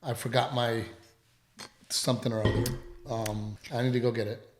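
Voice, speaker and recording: Male co-host, casual home podcast, a bit hoarse with a cough, meandering delivery, roomy sound. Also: mid-range voice, little pitch movement, background noise. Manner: rambling, halting, full of restarts. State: lingering dry cough. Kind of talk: casual chat.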